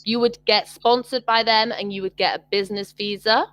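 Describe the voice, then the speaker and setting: A woman's voice: a little high, steady tone, brisk, a bit bright, dry recording. A young British woman tutoring over a video call, chatting casually with frequent ums and restarts. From Britain. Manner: hesitant, many ums and restarts. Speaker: online English tutor.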